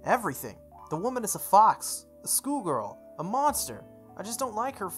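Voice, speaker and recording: A woman's voice: mid-range voice, big pitch swings, normal speed, pitched above the performer's own voice, lightly acted. A woman voicing a prim, judgmental male student, dry and pedantic, in a visual novel. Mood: disdainful. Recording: music playing behind the voice.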